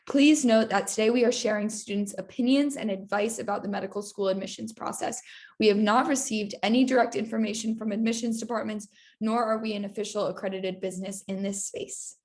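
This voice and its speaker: A woman's voice: medium pitch, a little fast, a little mellow. A young woman moderating an online webinar, giving a scripted, formal welcome and introductions over a video call. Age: young adult.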